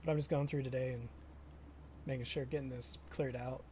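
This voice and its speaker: A young man chatting casually with viewers during a live stream of a farming game, often pausing and restarting his sentences. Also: medium pitch, fairly even intonation, dark tone, slight background noise. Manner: halting, self-correcting, chatty with viewers.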